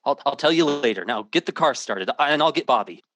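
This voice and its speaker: Tense, protective husband, noisy recording. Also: male voice, medium pitch, animated, a bit bright. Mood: urgent. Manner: urgent, halting, dramatic. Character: frightened, protective husband and father on the run. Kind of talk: old-time radio drama acting with narration. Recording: noisy old radio drama recording.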